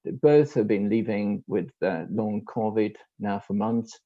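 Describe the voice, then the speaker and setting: A man's voice: fairly low pitch, measured pace, phone-quality sound. A man moderating an online meeting, thanking a speaker and announcing a break with many hesitant fillers.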